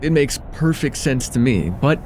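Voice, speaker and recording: A man playing an affectionate, teasing young chemistry student in a casual, intimate one-sided roleplay, talking with hesitant, soft-hearted banter. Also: medium pitch, lively intonation, moderate pace, background noise, natural voice. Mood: teasing, welcoming. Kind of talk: slice-of-life ASMR roleplay, intimate one-sided dialogue.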